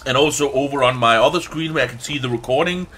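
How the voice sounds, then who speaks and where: Bright-voiced man, non-native English, halting commentary. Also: medium pitch, soft background music. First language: not English. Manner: halting, searching for words, swearing casually. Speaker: let's play host. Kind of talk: casual gameplay commentary.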